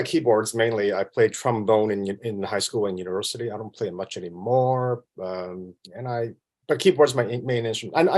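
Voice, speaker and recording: A man from Vancouver with a Canadian accent, chatting casually as an interview guest in a modest, self-deprecating, halting way. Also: fairly low pitch, a little mellow. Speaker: interview guest, film composer.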